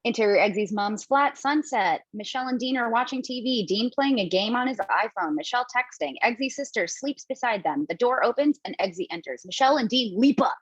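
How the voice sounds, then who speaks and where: A woman reading the stage directions in a screenplay table read, brisk and casually playful. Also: a little high, expressive, slightly rough, no echo. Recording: dull lo-fi home mic.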